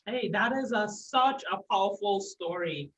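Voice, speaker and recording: A woman's voice: mid-range voice, animated, unhurried, far from the mic, rough recording. Upbeat woman host from Trinidad and Tobago. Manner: upbeat, warm praise for guest. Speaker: podcast host. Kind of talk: friendly online interview.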